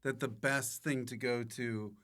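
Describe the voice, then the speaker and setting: A man's voice: mid-range voice, steady tone, measured pace, fairly bright tone. An American man hosting a podcast, asking questions and chatting casually with a guest. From United States. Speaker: podcast host. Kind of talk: casual interview chat.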